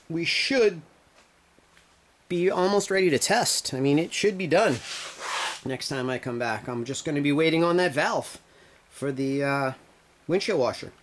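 A man's voice: medium pitch, a little hiss or hum. A man casually walking through a do-it-yourself build in his garage workshop, explaining as he goes and often correcting himself mid-sentence. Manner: rambling, self-correcting explanations while filming. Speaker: DIY video maker.

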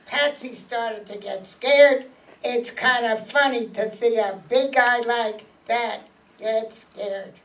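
A woman's voice: fairly high pitch, fairly even intonation, slow. A woman playing a short, wheedling small-time male gangster with slangy tough-guy talk in a stage radio mystery. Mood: feigned sorrow, evasive. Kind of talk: radio mystery acting before a live audience. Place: meeting room stage, camera among audience. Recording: distant mic in an audience room.

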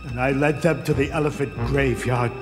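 Actor as nervous soft-hearted male elephant, dramatic, animated game, cavernous reverb, clear music underneath. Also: mid-range voice, normal speed, natural voice. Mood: pained, urgent. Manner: dramatic, fretful. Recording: reverberant cave sound with music behind.

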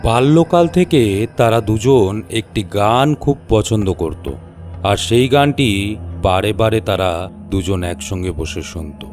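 A man narrating a scripted horror story in measured, suspenseful short phrases. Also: on the low side, lively intonation, darkish tone, soft background music.

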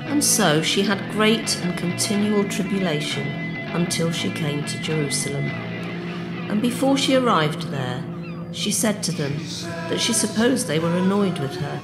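A narrator giving a measured, solemn dramatic reading of an old religious tale. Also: mid-range voice, lively intonation, rough recording. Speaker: storyteller, narrator. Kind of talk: dramatic reading of a medieval book, storytelling. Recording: music behind the voice. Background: plucked guitar music.

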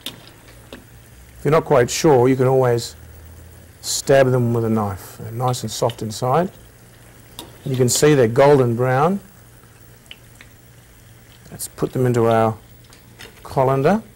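A man's voice: medium pitch, takes it slowly, pauses often, a little mellow, poor audio. Man, cooking show host, mid-range voice, step-by-step recipe instructions. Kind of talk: cooking demonstration.

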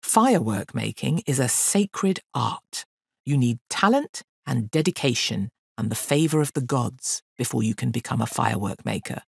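Lady doing a proud stern old-school storybook craftsman, lofty n scolding, lowish voice, dry sound. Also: lively intonation, moderate pace, gravelly, slightly rough, no echo, exaggerated voice. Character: stern, proud male master firework maker. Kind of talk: children's storybook character voice.

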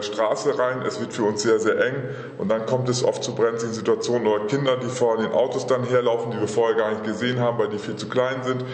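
A middle-aged man, an emergency service chief, gives an explanatory demonstration in a meeting room. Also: mid-range voice. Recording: echoey, reverberant room.

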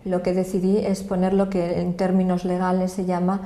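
Older woman, Madrid accent, earnest and measured; dark, gravelly voice in a roomy space. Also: lowish voice, completely flat delivery. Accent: Spanish from Madrid. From Madrid, Spain. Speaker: association president. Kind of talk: sit-down interview. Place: indoor office room. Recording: echoey room.

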